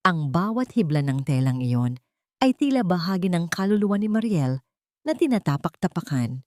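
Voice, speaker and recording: A woman's voice: lowish voice, big pitch swings, normal speed, dark tone, no room sound, clean studio sound. A woman reading a scripted, dramatic story as its narrator.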